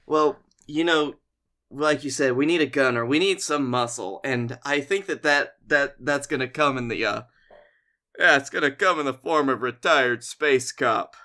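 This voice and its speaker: A man's voice: mid-range voice, normal speed, bright, forceful tone. A man co-hosting a comedy podcast, casually riffing and brainstorming ideas with a friend.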